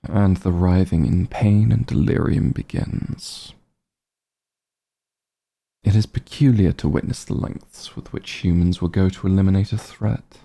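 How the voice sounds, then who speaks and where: A man playing a cold, possessive, centuries-old vampire lord in an ASMR roleplay, speaking in a hushed, gravelly, imperious and disdainful way. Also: fairly low pitch. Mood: scornful. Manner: imperious, disdainful, measured and commanding. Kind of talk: ASMR roleplay, scripted dramatic monologue.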